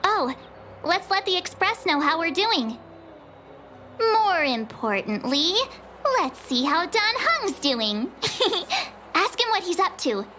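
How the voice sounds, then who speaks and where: A woman's voice: extremely high pitch, big pitch swings, takes it slowly, background noise, heavily acted. A woman voicing a bubbly, playful teenage girl adventurer in a video game. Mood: cheerful, playful.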